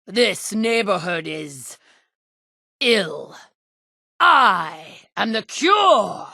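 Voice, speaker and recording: A theatrical pulp-comic vigilante, as a woman puts him on, declaiming with grand sweeping swoops of pitch. Every word is drawn out very slowly and rings bright and ringing, like a hero announcing justice in a video game. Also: big pitch swings, vocal fry, no room sound, studio quality, exaggerated voice. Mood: grandiose. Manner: slow, booming, melodramatic proclamations.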